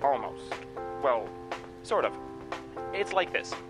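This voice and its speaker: Wry, lively morning radio host. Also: male voice, medium pitch, takes it slowly. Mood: breezy. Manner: breezy, deadpan, needling. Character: wry, cheeky male small-town morning radio host. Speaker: morning show announcer. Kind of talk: comedic radio announcing. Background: music.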